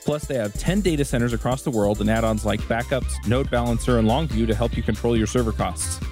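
A man's voice: fairly quick, darkish tone. Upbeat ad read guy, little music bed under it. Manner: smooth, upbeat pitch. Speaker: ad reader. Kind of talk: read sponsor ad.

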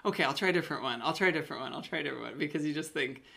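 A man's voice: fairly high pitch, very fast, creaky, pushed and bright. A man hosting a casual interview, musing aloud and repeating phrases for emphasis. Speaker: interviewer, podcast host.

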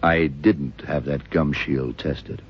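A man acting a tough, shrewd detective in a radio crime drama, deliberate and hard-boiled, with a deep husky voice. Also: steady tone, a little hiss or hum. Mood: dry, satisfied. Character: tough, shrewd male detective exposing a killer. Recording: dull, lo-fi old radio recording.

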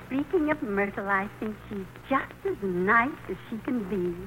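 A woman's voice: mid-range voice, expressive, moderate pace, close to an everyday voice. An actress playing a fretful, sentimental older mother of a grown son in a 1940s radio play. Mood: fussing. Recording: noisy old radio broadcast recording.

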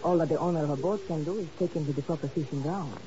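A woman's voice: low-pitched, quick pace, a crowd in the background, lightly acted. A woman playing a cool, guarded smuggler in a 1950s espionage radio drama, formal and precise in her speech. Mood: cool, cryptic. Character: guarded, cool female smuggler boat owner. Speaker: supporting actress in a radio drama. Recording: noisy, dull old radio recording.

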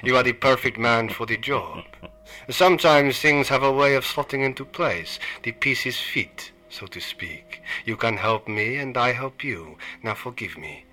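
Sinister dealer, male, cold and clipped, bright edgy tone, hushed menace, played by a man. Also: mid-range voice, expressive, measured pace. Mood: cold, menacing. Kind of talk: radio play acting.

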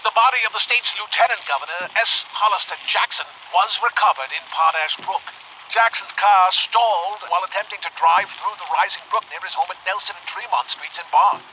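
1920s newsman, high voice, grave, emphatic, live radio drama report, dull noisy transmission. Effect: old radio transmission filter.

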